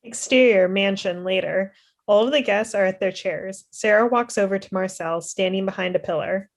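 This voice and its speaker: Young woman, scripted narration, matter-of-fact, medium pitch, video call. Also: normal speed. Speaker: stage directions reader. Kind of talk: scripted narration at a table read. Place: indoor room at home.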